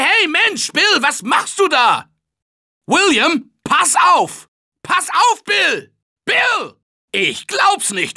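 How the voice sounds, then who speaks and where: An excitable zombie-game survivor, who calls out to his squad with a lively, swooping delivery. His tone is bright and forceful, and he keeps an unhurried pace even when shouting warnings. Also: very high-pitched, exaggerated voice. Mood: exasperated. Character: upbeat male office-worker survivor in a zombie game. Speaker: game character. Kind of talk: German-dubbed video game voice lines.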